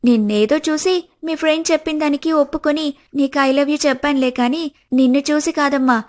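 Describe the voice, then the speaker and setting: An actress plays a young woman in a cartoon story, coy and materialistic, with a cutting tongue. Her voice is clearly high. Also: steady tone, normal speed, close to an everyday voice. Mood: scornful. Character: coy, teasing, money-minded, scornful young woman. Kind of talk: scripted cartoon story dialogue.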